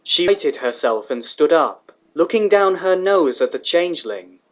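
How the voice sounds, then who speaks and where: Casting: male story narrator, slightly high voice, lo-fi sound. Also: animated, a little slow. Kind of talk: scripted story narration of fan fiction. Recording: slightly dull, lo-fi recording.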